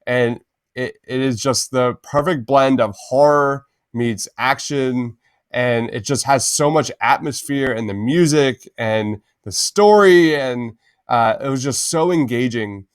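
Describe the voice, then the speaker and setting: A man's voice: mid-range voice, a little slow, clean tone, no room sound, clean studio sound. A man co-hosting a podcast in an enthusiastic, casual chatty style, often tossing in "man". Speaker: podcast co-host.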